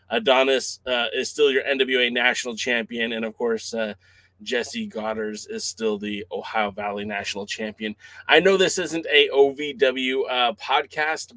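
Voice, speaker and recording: A man's voice: medium pitch, a bit bright, no echo, rough recording. A man hosting a wrestling news podcast who is losing his voice, talking casually with many fillers and restarts. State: losing his voice, clearing throat. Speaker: podcast host.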